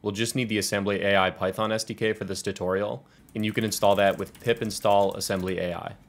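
A man in his twenties with an American accent presenting a software tutorial in an explanatory, step-by-step way. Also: on the low side, fairly even intonation, fast talker. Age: 20s. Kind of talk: scripted software tutorial walkthrough.

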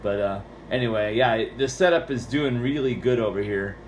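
A man's voice: fairly low pitch, moderate pace. An American man chatting casually and at rambling length about technical matters as a radio operator. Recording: roomy, with background radio noise.

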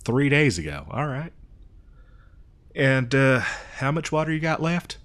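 A man's voice: mid-range voice, sing-song, a bit bright. A man playing a dim, good-natured cowboy mail rider in a comedy roleplay, rambling hesitantly in an American cowboy drawl. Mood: apologetic, surprised. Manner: rambling, hesitant, folksy, slow-witted. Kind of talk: improvised comedy audio roleplay.

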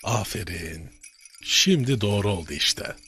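A man voicing a kindly, pious old grandfather in a children's cartoon, teaching in a gentle, measured, didactic way with a husky, aged voice. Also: on the low side, lively intonation, exaggerated voice. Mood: amused, approving.

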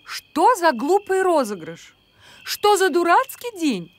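A woman voicing a brisk, easily exasperated city mother in a cartoon, with a querulous way of talking. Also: very high-pitched, sing-song, exaggerated voice. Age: adult. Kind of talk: cartoon voice acting.

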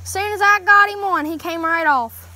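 A woman's voice: very high voice, normal speed, background noise. A woman, chatting easily to viewers while fishing by a mountain creek. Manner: chatty, easygoing, talks to viewers. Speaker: fishing vlogger. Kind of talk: casual running commentary while fishing. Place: outdoors by a wooded mountain creek. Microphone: handheld phone camera.

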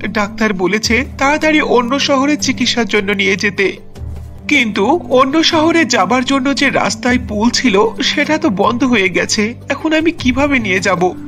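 A woman's voice: on the high side, lively intonation, moderate pace, a bit bright. Worried villager husband for a cartoon, played by a woman, pleading and plaintive. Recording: music behind the voice.

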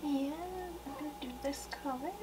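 A young woman doing a soft-spoken ASMR roleplay as a portrait painter, hushed and gentle, at times slipping into a whisper. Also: sits high, little pitch movement, normal speed, noisy background. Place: small wood-panelled room indoors.

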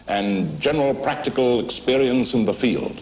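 A man's voice: medium pitch, moderate pace, a little mellow. A man delivering a formal prepared statement as a scientist, in an archival recording. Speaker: biochemist. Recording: noisy archival film recording.

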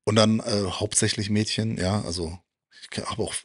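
A man's voice: fairly low pitch, fairly even intonation, normal speed, slightly rough, no room sound, studio quality. A man, chatting casually as a guest in slangy talk full of tag questions. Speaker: guest on a retro TV talk show. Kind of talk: casual fan chat. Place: small indoor room with shelves of media. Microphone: handheld microphone.

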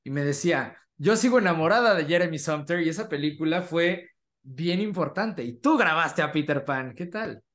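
A young Mexican man with a fairly high voice hosts a casual interview. He speaks into a desk microphone on a video call, keeping it conversational. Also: lively intonation, moderate pace, fairly bright tone. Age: 20s. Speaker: host, interviewer. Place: indoor room, video call.

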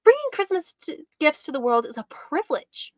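An eager, cheerful young female reindeer from a children's Christmas storybook , voiced by a woman reading aloud. She speaks high and earnest, full of excitement. The recording is dry and close. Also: normal speed, dry recording.

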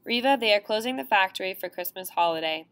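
A woman's voice: medium pitch, animated, fast, fairly bright tone, smooth. A woman reading the part of an earnest female camp elder pleading urgently.

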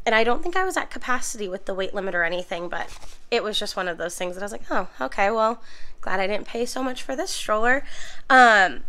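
A woman's voice: fairly high pitch, fairly bright tone, slight background noise. A young woman getting over a cold and losing her voice, chatting through a casual stroller review in her nursery at home, full of ums and likes. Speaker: mom vlogger reviewing a stroller. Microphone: handheld phone camera, then a camera set on the floor.

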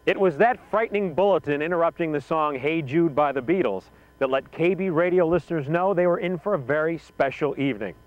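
Higher-voiced man narrating, slightly dull sound. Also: no room sound. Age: middle-aged. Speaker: documentary host and narrator. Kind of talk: scripted narration.

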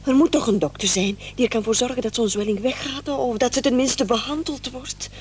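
An actress playing a concerned, supportive wife in a radio drama, gently urging her husband on. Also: a little high, fairly quick, natural voice. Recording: noisy old radio play recording.

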